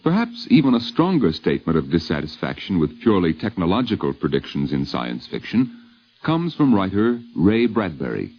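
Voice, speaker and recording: A man's voice: deep voice, sing-song, normal speed, breathy edge, dull audio. A man hosting with scripted narration in the style of a 1950s radio documentary, on an old recording.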